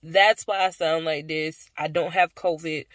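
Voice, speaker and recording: A woman's voice: deep voice, fairly even intonation, unhurried, fairly bright tone, no room sound, clean studio sound. A tired young woman with a stuffy, congested nose, chatting casually to camera on a phone in a car. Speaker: vlogger. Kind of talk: casual vlog chat to camera. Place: inside a car at night. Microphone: phone at arm's length.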